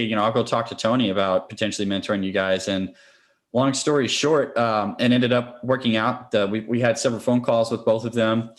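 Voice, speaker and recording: Man explaining things step by step. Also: mid-range voice. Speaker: interview guest, real estate investor. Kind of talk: conversational explaining in a podcast interview.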